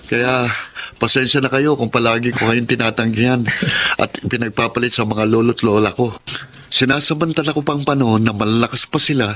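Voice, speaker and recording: A man's voice: mid-range voice, fairly even intonation, fairly quick, fairly bright tone, natural voice. Man acting a sincere, tender young grandson, faint background noise. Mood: earnest, reflective. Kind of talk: Filipino radio drama acting.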